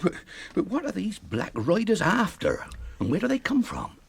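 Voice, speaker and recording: Radio fantasy role: forgetful, well-meaning English innkeeper. Stammering, rambling, husky, with lively swings in pitch. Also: male voice, moderate pace, heavily acted. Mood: indignant, alarmed. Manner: bumbling, rambling, stammering rustic talk. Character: flustered, apologetic, forgetful fat innkeeper, loyal and well-meaning. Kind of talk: British fantasy radio drama acting.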